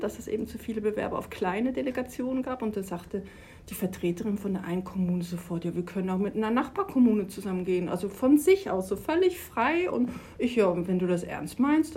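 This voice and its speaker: A woman's voice: a little high, mellow, husky. A middle-aged woman from Switzerland, talking enthusiastically in an interview about her work in sport. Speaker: sports organization official. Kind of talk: conversational interview.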